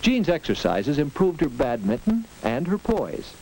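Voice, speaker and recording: A man's voice: fairly high pitch, a little slow, low-quality recording. A man narrating a 1940s educational film, earnest and instructive, on an old soundtrack with faint hiss and clicks. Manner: earnest, instructive, lively delivery.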